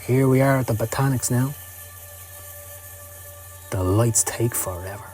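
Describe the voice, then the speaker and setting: A man's voice: medium pitch, a little slow, darkish tone, natural voice. A Scottish man playing a cynical, down-at-heel occult hustler, telling his story in a sardonic, streetwise way for a motion comic. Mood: brooding. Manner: sardonic, streetwise, foul-mouthed. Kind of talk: dramatic first-person comic narration. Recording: music under the voice. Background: music.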